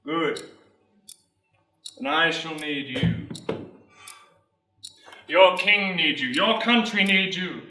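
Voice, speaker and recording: A man playing a rousing army sergeant major recruiting in a town square, with loud, declamatory oratory in a theatrical monologue. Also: a little high, expressive, slow, pauses often, full character voice. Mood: confiding, persuasive. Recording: roomy, distant microphone.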